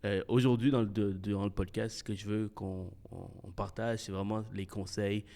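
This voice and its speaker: A man hosting a podcast. He talks casually and haltingly, restarting his sentences and slipping in English words. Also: on the low side, fairly even intonation, creaky, dark tone. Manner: halting and hesitant, many restarts, laughs often, mixes in English. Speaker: podcast host.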